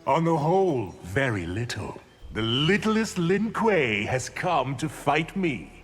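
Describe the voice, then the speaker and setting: A man voicing an arrogant, sinister underworld sorcerer in a video game, with a smug, taunting, deliberate delivery. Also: on the high side, sing-song, breathy edge, full character voice. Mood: smug menace. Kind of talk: video game villain acting. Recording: game dialogue with music behind.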